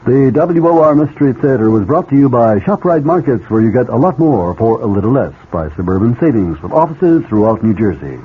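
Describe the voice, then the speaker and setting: Animated male radio announcer. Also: mid-range voice, brisk, hardly any pauses. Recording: tinny old radio, faint noise.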